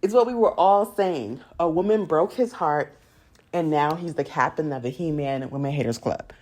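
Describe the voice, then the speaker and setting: A woman's voice: low voice, moderate pace, a little mellow. A woman from the United States hosting a TV recap, chatting casually and candidly to viewers with strong opinions. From United States, raised in a Jamaican household. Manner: candid, opinionated, laughs easily while talking. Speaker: TV recap show host.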